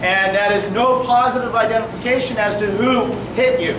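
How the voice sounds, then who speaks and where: A man's voice: high-pitched, steady tone. A man, a police officer, explaining things to a community meeting, projecting his voice and quizzing the audience. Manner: projecting, brisk, asks the audience questions. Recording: echoey room from far off, noisy.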